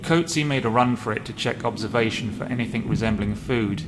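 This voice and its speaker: A man's voice: medium pitch, fairly quick. A man narrating a game role play and reading journal entries aloud. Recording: loud background noise.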